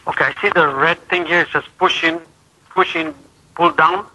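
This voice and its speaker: A man's voice: fairly high pitch, sing-song, moderate pace, gravelly, pushed and bright, slight background noise. A man in an unscripted phone conversation, hesitant and stammering, often repeating himself, heard over a telephone line. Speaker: prank call victim answering the phone at work.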